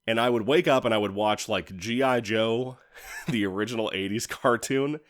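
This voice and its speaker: Low-voiced man, casual enthusiastic reviewer. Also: moderate pace. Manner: enthusiastic, informal, mildly sweary. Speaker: video reviewer. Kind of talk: casual rambling solo review with personal stories.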